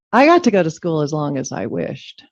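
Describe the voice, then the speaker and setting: A middle-aged woman, a Danish native speaker, giving a reflective, halting farewell speech as chair of a public meeting. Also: deep voice, gravelly. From California. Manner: reflective, halting, with frequent ums. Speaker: commission chair. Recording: dull lo-fi meeting audio.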